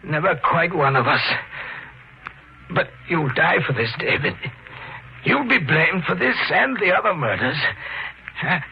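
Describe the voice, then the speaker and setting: An actor playing a sadistic, cultured killer in a 1950s radio thriller, with a taunting, deliberate, theatrical delivery. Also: male voice, on the high side, big pitch swings, vocal fry, slight background noise, exaggerated voice. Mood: bitter, desperate. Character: sadistic, gloating homicidal maniac, man, cultured killer. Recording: muffled old radio broadcast, music behind.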